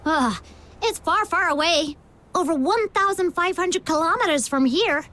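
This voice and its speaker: Very high-pitched, cheeky young girl. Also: normal speed, exaggerated voice. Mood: chatty, helpful. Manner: cheeky, expressive, talkative. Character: brash, chatty, teasing young girl companion. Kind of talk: animated video game voice acting.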